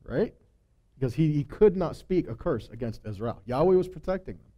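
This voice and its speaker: A man teaches scripture in a soft, mellow voice pitched a little above average. He moves between reading verses and plain conversational explanation. He leans on key phrases and often checks that listeners are following. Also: expressive, brisk. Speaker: Bible teacher.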